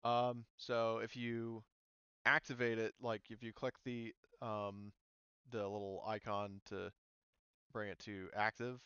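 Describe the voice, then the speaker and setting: A man with a smooth, fairly low voice runs a casual tabletop game, explaining and thinking aloud informally. The sound is dry and slightly dull. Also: level tone, slow, sharp tone, no room sound. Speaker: game master.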